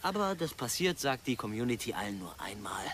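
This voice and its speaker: Nervy young drone pilot, swooping intonation. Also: male voice, medium pitch, very animated, a little fast, a bit bright, a little hiss or hum. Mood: uneasily reassuring. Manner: hesitant, muttering, falsely reassuring. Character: nervy, talkative bearded computer-science student, drone pilot. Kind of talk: radio drama acting.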